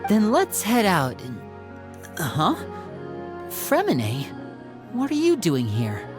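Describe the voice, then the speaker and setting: A woman voicing a calm, gracious older-sister type host with a gentle, polite manner in a video game. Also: lowish voice, slow, heavily acted. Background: background music.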